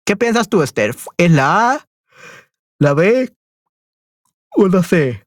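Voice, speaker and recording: A man's voice: high-pitched, big pitch swings, slow, no room sound, studio quality. A man teaching a Spanish lesson to an online group, reading quiz questions aloud and switching often between Spanish and English, repeating his affirmations. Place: online class, talking to students.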